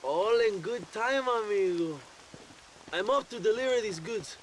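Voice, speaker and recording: An actor playing a charming, vain Mexican revolutionary leader in a video game, with a Mexican accent and a pompous, theatrical way of speechifying. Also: male voice, sits high, animated, measured pace, slight background noise, poor audio. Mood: breezy. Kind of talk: video game cutscene acting.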